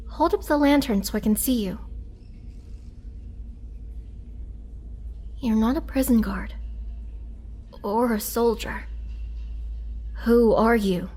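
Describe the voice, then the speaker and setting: Casting: actress for young-looking ancient vampire prisoner, female, wry and pleading, slightly high pitch, unhurried halting delivery, audio roleplay. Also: mellow. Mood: wary, startled. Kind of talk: dramatic girlfriend roleplay audio acting.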